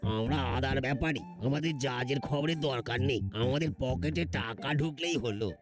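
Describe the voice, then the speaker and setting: A man's voice: mid-range voice, normal speed, music in the background, close to an everyday voice. A man voicing a naive, curious cartoon thief henchman who defers to his boss. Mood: incredulous, curious. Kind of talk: cartoon voice acting.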